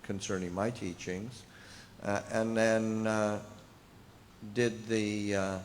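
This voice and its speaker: An older man, a Buddhist monk, giving an informal talk and answering questions, pausing often with fillers and restarts. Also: lowish voice, flat delivery, in a large hall, a little hiss or hum. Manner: halting, many fillers and restarts.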